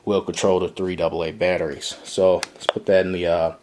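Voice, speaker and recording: A man's voice: low-pitched, little pitch movement, brisk, noisy background. A man giving a casual, step-by-step product demonstration in an explanatory way, recorded in a room indoors. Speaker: product reviewer.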